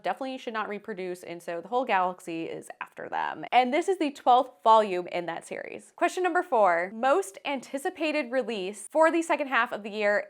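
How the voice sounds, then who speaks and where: A woman's voice: on the high side, a bit bright. A woman hosting a casual book vlog, talking to viewers in an enthusiastic, emphatic way with stretched-out stressed words. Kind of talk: casual chatty to-camera book review.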